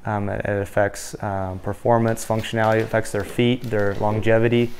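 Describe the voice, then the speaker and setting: Gravelly-voiced rancher man. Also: on the low side, little pitch movement, brisk, a little mellow, slight background noise. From Wyoming. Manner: earnest, many restarts and fillers. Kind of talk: documentary interview. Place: indoor room.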